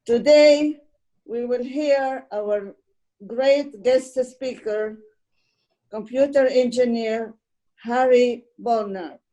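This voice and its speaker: A woman hosting an online talk, giving a deliberate, ceremonious welcome as programme coordinator. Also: fairly high pitch, warm tone, low-quality recording. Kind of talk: formal welcome speech on a video call. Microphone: video call.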